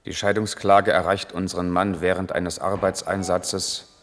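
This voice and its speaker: A male actor plays a torn, evasive intellectual with a deep voice. He speaks deliberately and seriously in 1980s radio drama style, in a long reverberant space with music in the mix. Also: flat delivery, close to an everyday voice. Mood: sober, measured. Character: brooding male lover, an East German intellectual, torn and evasive. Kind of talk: 1980s German radio play acting, measured narration and intimate dialogue.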